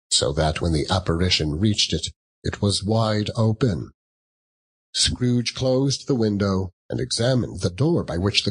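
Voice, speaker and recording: A man's voice: on the low side, husky, poor audio. A man narrating a classic story as a dramatic audiobook reading.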